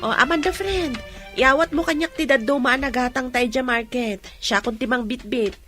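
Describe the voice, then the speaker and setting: A woman's voice: high-pitched, moderate pace, fairly bright tone, faint music behind. An actress playing a caring young woman, a loyal best friend with an Ilocano accent, coaxing and chiding expressively in a radio drama. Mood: concerned, admiring.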